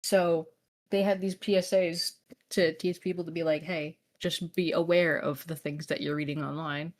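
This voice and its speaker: A woman's voice: low-pitched, level tone, normal speed. A woman from Canada chatting casually on a livestream. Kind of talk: casual chat.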